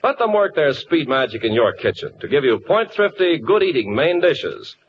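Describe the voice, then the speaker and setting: Upbeat american guy doing an old 40s radio ad, swoopy pitch, bit muffled. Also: sing-song, moderate pace. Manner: upbeat sales pitch, emphatic. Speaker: commercial announcer. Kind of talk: 1940s radio advertisement read. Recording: muffled, hissy 1940s radio recording.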